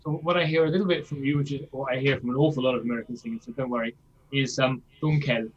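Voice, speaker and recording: A man's voice: medium pitch, fairly even intonation, quick pace, dull audio. A man coaching a singer in a masterclass, explaining things and checking in with "yeah?" as he demonstrates lines himself. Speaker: vocal coach.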